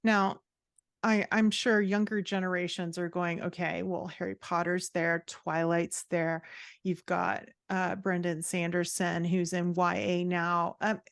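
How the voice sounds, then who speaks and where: A woman speaks in a very dry, close recording with no room sound. Her voice sits on the low side and she takes her time. She chats informally and expressively, like a guest sharing opinions in a casual interview. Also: level tone, unhurried, clear, resonant tone, dry recording. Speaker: podcast guest, fantasy author and writing coach.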